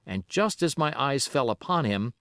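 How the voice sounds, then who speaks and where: A man narrating an adventure novel as an audiobook, in an expressive, measured, dramatic storytelling style. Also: mid-range voice, dry recording, studio quality.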